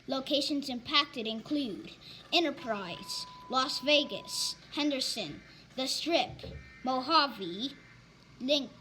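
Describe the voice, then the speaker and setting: Slow, high-pitched female weather alert announcer. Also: bright, forceful tone, a little hiss or hum, rough recording. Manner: halting, stumbling, repeats phrases. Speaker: announcer of mock emergency alerts. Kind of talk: amateur weather alert bulletin read aloud.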